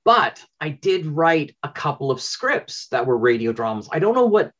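A man's voice: on the high side, very animated, moderate pace, a bit bright, highs cut off. A middle-aged man from Canada, an interview guest telling stories conversationally on a video call. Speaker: interview guest, audio drama producer. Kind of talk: casual conversational storytelling. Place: small home room with bookshelves.

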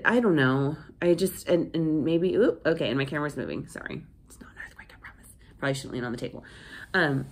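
A woman in her thirties chatting casually to camera in a home office, rambling with lots of fillers and restarts, sounding nasal from a cold. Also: extremely low pitch. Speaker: vlogger. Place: small home office indoors. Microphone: phone camera at desk.

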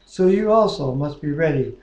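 Mellow older North American man, echoey room. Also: fairly high pitch, animated, moderate pace. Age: 60s. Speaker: co-host of a home Bible reading. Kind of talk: reading scripture and praying aloud. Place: living room, indoors. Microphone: webcam at about arm's length. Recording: echoey living room webcam audio.

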